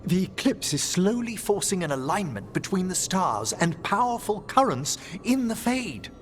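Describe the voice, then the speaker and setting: Brainy game mage, polite and formal, highish lively pitch, noisy ambience behind. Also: male voice, normal speed. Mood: grave. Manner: articulate, formal. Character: courteous, scholarly male mage companion, composed. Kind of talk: video game cutscene acting.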